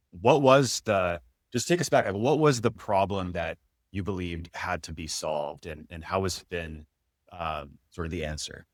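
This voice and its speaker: A man's voice: deep voice, no echo, clean studio sound. A man hosting an interview in a casual, conversational style, with thoughtful, hedged phrasing. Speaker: interview host.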